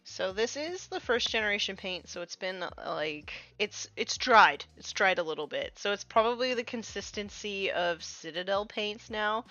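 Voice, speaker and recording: A woman's voice: mid-range voice, expressive, moderate pace, hardly any pauses, pushed and bright, no room sound, highs cut off. A young woman hosting a live stream, chatting casually and loosely with lots of fillers while she works, a little sniffly from a cold coming on. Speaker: stream host painting miniatures.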